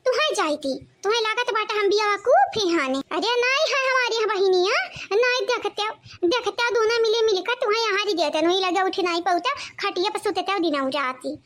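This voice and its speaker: Cartoon role: a woman playing a shrill, taunting young Awadhi village woman, very high voice. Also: brisk, hardly any pauses. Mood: scolding. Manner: scolding, sharp repetitive taunts. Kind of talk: cartoon voice acting.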